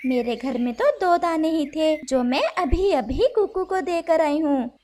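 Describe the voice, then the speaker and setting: A woman voicing a caring, gentle mother sparrow in a Hindi children's cartoon, with simple, clearly addressed lines. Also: high voice, measured pace, slight background noise. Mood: earnest, kindly.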